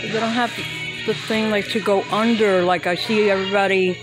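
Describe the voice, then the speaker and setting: A woman's voice: medium pitch, steady tone, normal speed, poor audio. A woman vlogging on a handheld phone as she walks from a parking lot into a Halloween store, rambling and hesitant with many fillers. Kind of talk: casual walk-through vlog. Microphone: handheld phone camera. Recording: loud music playing behind. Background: loud store music.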